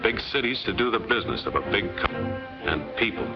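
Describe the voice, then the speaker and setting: A man's voice: on the low side, sing-song, a little fast. A man with an American accent narrating a 1940s film in a folksy, emphatic way. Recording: dull 1940s film soundtrack with music.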